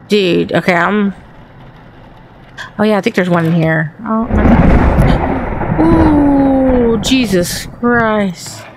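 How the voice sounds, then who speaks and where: A woman with a Southern accent and a raspy voice chats to the ghosts while playing a horror game. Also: mid-range voice, noisy background. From Texas. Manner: talks to the game and its ghosts, jumpy and chatty. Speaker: gaming channel host. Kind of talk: horror game let's play commentary.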